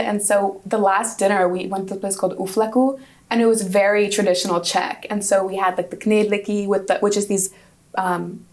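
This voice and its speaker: Student guest, woman in her twenties, native Hungarian speaker; upbeat, chatty answers with restarts. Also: medium pitch. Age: 20s. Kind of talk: casual interview answers.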